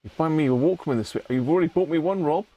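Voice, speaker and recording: A man's voice: a little high, fast, dull audio. A British man hosting a livestream, chatting casually and colloquially as he thinks aloud. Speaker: livestream host. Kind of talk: casual rambling chat to live viewers.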